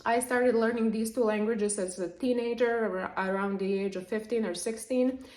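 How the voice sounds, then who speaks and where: Woman, Serbian native, young adult, vlogger, lively explainer, reverberant room. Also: fairly high pitch, moderate pace. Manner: lively, explanatory, with frequent fillers. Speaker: language vlogger. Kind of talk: casual talk to camera. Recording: echoey room.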